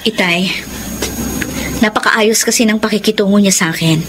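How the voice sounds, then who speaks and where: A woman's voice: medium pitch, moderate pace, very noisy, close to an everyday voice. An actress playing a hurt, resigned wife and mother in a Tagalog radio drama, earnest and sorrowful. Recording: loud music behind the voice.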